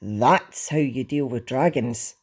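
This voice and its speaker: A man voicing a huge, fierce Viking father who speaks in a loud, commanding bark. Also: medium pitch, takes it slowly, breathy edge. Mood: satisfied.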